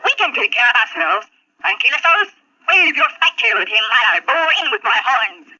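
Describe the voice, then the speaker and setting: Lady doing a protective triceratops, firm n friendly, husky bright voice, muffled 70s storybook record. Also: on the high side, sing-song, brisk, full character voice. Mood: bold. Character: helpful, protective triceratops, sturdy and confident. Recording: muffled 1970s talking toy record.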